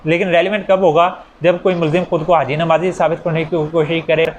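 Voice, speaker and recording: A man's voice: a little high, flat delivery, a little fast. A man with a Pakistani accent giving an informal law lecture, explaining step by step in a conversational way with rhetorical questions. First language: Urdu. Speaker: law teacher. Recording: noisy recording with background ambience.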